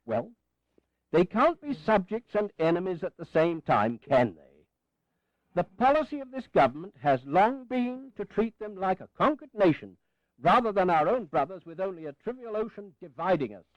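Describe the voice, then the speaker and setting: An actor playing an indignant, principled old British general and minister in a historical audio play, sardonic and oratorical. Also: male voice, high-pitched, very animated, normal speed, no echo. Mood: defiant. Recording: slightly dull 1980s audio recording.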